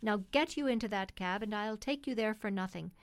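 A woman's voice: mid-range voice, brisk, no room sound, lightly acted. A woman as a hearty, protective male cab driver. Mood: kindly, protective. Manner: hearty, plain-spoken, protective. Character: kindly, firm working-class male London cab driver.

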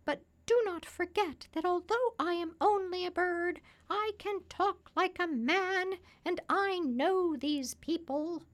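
A woman's voice: very high voice, takes it slowly, few pauses, voice pushed up. A woman voicing a small, clever, proud female parrot in a storybook, assertive and precise in how she explains things. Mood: self-assured.

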